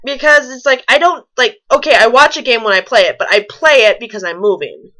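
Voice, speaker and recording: A woman's voice: fairly high pitch, a little fast, fairly bright tone. A woman reading a story aloud and commenting on it with wry, nitpicking asides. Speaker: fan fiction reader and commentator.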